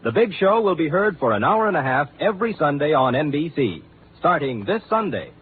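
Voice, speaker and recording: An American man announces in vintage network radio style. His voice is fairly high with big, lively swings, and the broadcast sound is narrow and boxy. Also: a little fast, noisy background. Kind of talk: 1950s radio promo announcing.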